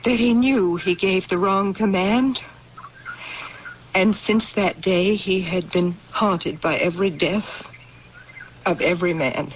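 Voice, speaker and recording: Actress playing a proud grieving widow, muffled old radio. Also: female voice, medium pitch, takes it slowly, pauses often, a little hiss or hum, natural voice. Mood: confessional, anguished. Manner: formal, theatrical, impassioned. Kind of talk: old-time radio drama acting.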